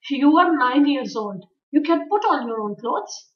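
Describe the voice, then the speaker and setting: A woman's voice: high-pitched, expressive, measured pace. A woman reading a storybook aloud as a friendly, kindly young maid who is chatty and reassuring. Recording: echoey room, distant muffled mic.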